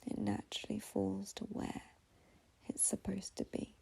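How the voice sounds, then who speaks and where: Breathy, half-whispering woman guiding meditation. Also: mid-range voice, monotone. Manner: slow, soothing, gentle, with long pauses. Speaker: meditation guide. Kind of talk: guided meditation.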